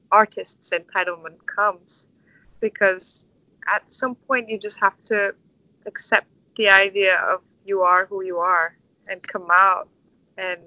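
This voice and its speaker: A woman in her twenties from Istanbul with a Turkish accent, answering interview questions in a thoughtful, halting, conversational way. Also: medium pitch, sharp tone, no echo, dull audio. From Istanbul, Turkey. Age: 20s. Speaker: interview guest, orchestral conductor.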